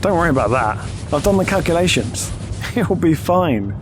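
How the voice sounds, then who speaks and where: Man playing a confident, higher-voiced crew leader. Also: sing-song, moderate pace, background noise. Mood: assured. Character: confident, breezy male spaceship crew leader. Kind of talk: audio drama character acting.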